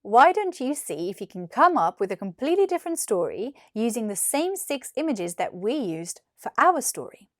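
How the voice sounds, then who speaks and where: British female storyteller, twenties, scripted children's narration, lively intonation, dry sound. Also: on the high side, normal speed, few pauses, no room sound. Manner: clearly articulated, animated. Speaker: actor reading a children's story. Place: small furnished room indoors. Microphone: lapel mic.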